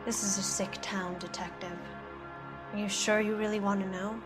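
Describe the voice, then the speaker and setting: An actress playing a cynical, guarded producer's wife in a 1940s noir video game, answering in a cool, bitter, evasive way. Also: female voice, mid-range voice, flat delivery, moderate pace, music in the background, lightly acted.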